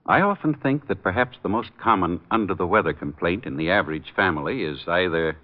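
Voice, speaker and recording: An American man as a druggist pitchman, giving a folksy, reassuring scripted 1940s radio commercial. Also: lively intonation, a little fast. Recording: muffled old radio recording.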